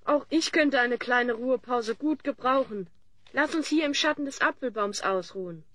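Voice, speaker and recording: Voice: mid-range voice, moderate pace, pushed and bright, no room sound. An actor playing a fifteen-year-old boy hero in a fantasy audio drama, earnest, sensible and theatrical.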